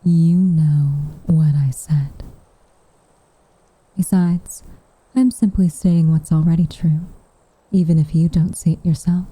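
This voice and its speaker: A woman voicing a guarded, secretly tender tsundere witch in soft, often whispered ASMR roleplay, with coy, hesitant, wryly formal phrasing. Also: low voice, steady tone, takes it slowly, husky, no room sound, full character voice, rough recording.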